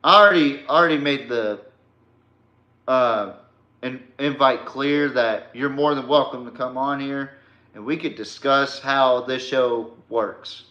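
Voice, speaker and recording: A man's voice: mid-range voice, measured pace, pushed and bright, lo-fi sound. A man hosting a sports podcast, delivering a blunt, confrontational rant in slangy, informal speech. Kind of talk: combative sports rant addressed to a rival. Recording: echoey room.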